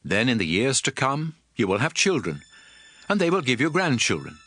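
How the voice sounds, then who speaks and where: Guy acting stern old prophet in a bible audio drama, solemn n emphatic, pitch moves a lot, music behind. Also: huge pitch swings, moderate pace, pushed and bright, dry recording, heavily acted. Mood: stern warning. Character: Moses, stern aged prophet exhorting his people. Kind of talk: dramatized scripture reading.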